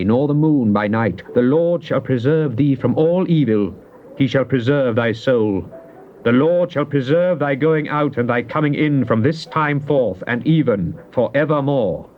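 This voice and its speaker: An actor playing a devout psalmist in a solemn, measured, theatrical Bible reading. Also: male voice, mid-range voice, lightly acted. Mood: assured. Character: devout psalmist pleading and praising God. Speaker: scripture reader. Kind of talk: dramatized King James Bible reading. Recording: dull, noisy old cassette tape.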